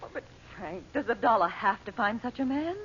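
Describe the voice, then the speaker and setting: A woman's voice: a little high, lively intonation, a little fast, close to an everyday voice. A woman playing a worried, protective mother in an old-time radio melodrama, pleading anxiously. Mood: alarmed, pleading. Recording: dull, noisy old radio recording.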